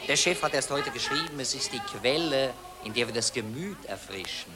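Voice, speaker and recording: A man's voice: medium pitch, lively intonation, normal speed, over music, lightly acted. An actor playing a pompous, cynical Viennese newspaperman in a 1940s German radio play, declaiming florid patriotic phrases.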